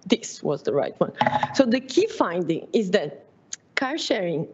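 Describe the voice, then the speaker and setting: A woman with a Brazilian Portuguese accent presenting her PhD research at a seminar, often pausing on "um", correcting herself and laughing at herself. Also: fairly low pitch, big pitch swings, darkish tone, husky, muffled recording. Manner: frequent "um" fillers, self-correcting, laughs at herself.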